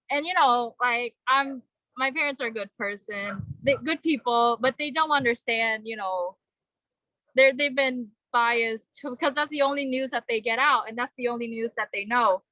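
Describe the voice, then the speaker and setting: A young woman from Vietnam speaks at a vigil in an earnest, halting way, leaning on fillers as she gathers her thoughts. Her voice is bright and somewhat high. The sound is close and dry. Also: no echo, rough recording. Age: young adult. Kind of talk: personal speech at a vigil.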